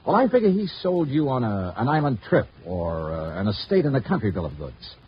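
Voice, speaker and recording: Hardboiled radio detective, animated delivery. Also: male voice, mid-range voice, fairly quick, breathy edge. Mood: stern, pressing. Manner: terse, wry, pressing. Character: hardboiled insurance investigator, male, brisk, cynical, commanding. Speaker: lead detective and narrator. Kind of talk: 1950s radio detective acting, clipped first-person narration. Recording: dull vintage radio recording, music behind.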